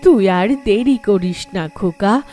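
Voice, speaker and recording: A woman voicing the sorrowful, protective ghost of a village mother in a horror cartoon, pleading and warning in drawn-out words. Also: medium pitch, animated, warm tone, soft background music. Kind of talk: Bengali horror cartoon voice acting.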